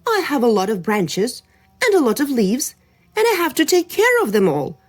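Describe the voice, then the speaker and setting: A woman's voice: a little high, wildly swooping pitch, normal speed, voice pushed up. A woman voicing a proud, busy birch tree in a storybook tale, brushing off a small bird. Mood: dismissive. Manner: dismissive and fussy.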